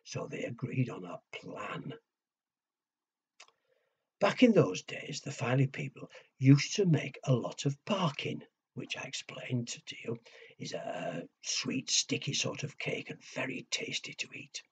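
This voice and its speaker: A man telling a story to young children, with a husky voice, hushed dramatic pauses and simple questions to his listeners. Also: mid-range voice, very animated. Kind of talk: children's storytelling and nursery rhymes. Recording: dull lo-fi recording.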